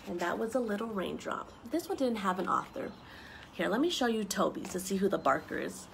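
A woman in her thirties reading a picture book aloud to young children in an animated way, with chatty asides and questions, recorded outdoors on a deck at about arm's length. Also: medium pitch, brisk. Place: outdoor wooden deck with trees around. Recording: noisy outdoor home video. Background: clear outdoor ambient noise.

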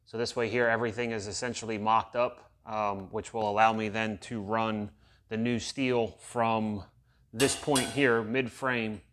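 A man in his thirties explaining a truck build in his garage workshop, halting with fillers as he walks through each step. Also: on the low side, level tone, a bit bright, a little hiss or hum. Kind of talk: casual workshop update. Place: large garage workshop, indoors.

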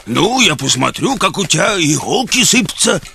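A man voicing a simple, nosy, good-natured cartoon bear with a folksy, excitable, bumbling way of talking. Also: on the high side, normal speed, vocal fry, slight background noise, full character voice. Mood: curious. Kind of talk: cartoon voice acting.

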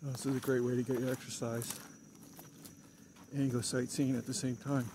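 A male vlogger talks casually while walking a forest trail. His medium-pitched voice has plenty of fry, and he speaks in short, unhurried phrases. The handheld outdoor recording carries faint natural ambience. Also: little pitch movement, mellow, a little hiss or hum, rough recording. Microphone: handheld camera held by the speaker.

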